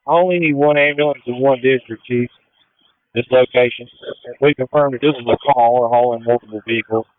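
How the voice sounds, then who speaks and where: A man's voice: mid-range voice, steady tone, fairly quick, smooth, no room sound. A man acting as a fire incident commander, giving matter-of-fact, procedural orders over a two-way emergency radio. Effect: two-way radio transmission. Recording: tinny narrowband fire radio channel.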